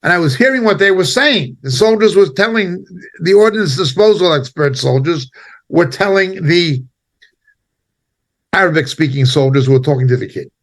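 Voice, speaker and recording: A man giving impassioned spoken commentary as a preacher, indignant and emphatic, speaking in short halting phrases. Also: on the high side, expressive, poor audio.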